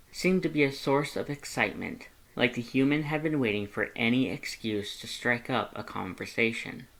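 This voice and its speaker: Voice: mid-range voice, a bit bright, poor audio. A narrator reading a fan fiction story aloud from a script.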